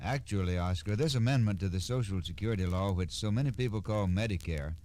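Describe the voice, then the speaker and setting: 60s radio spokesman guy, mellow low voice, formal scripted explaining. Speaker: Social Security spokesman guest. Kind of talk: scripted 1960s radio announcement.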